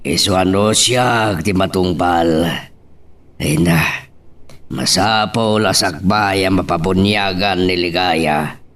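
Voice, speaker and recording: A man's voice: low voice, takes it slowly, fairly bright tone, far from the mic. A man acting an older rural father, practical, pressing and fond, in an Ilocano-accented audio drama. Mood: urging.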